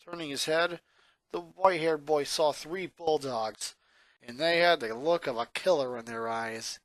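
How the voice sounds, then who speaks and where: A man reading a story aloud as narrator, in a dramatic, expressive storytelling style. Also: fairly high pitch, dry recording. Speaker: narrator, fan fiction reader.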